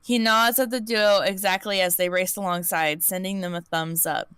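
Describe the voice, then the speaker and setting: A woman's voice: medium pitch, steady tone, normal speed, a bit bright, clear, resonant tone, no room sound, studio quality. A woman streamer reading an interactive story aloud, often restarting words she misreads. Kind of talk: live-stream story narration.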